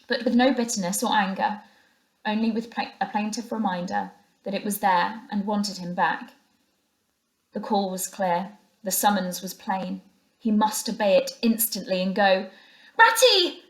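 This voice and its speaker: A woman narrating a children's classic aloud as a teacher would, in an expressive storytelling style. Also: medium pitch, rough recording.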